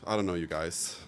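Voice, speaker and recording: Man, low voice, live streamer, casual chat with viewers, animated, swears, laughs a lot. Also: a little hiss or hum.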